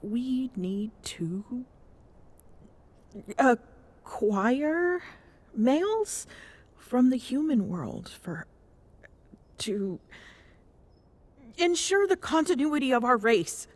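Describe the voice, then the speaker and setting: A woman playing a regal, smitten Amazonian queen in an intimate ASMR romance roleplay, speaking formally and softly with coy pauses, often near a whisper. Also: high-pitched, big pitch swings, full character voice. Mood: explaining, defensive. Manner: formal, haughty diction with coy hesitations and murmured endearments.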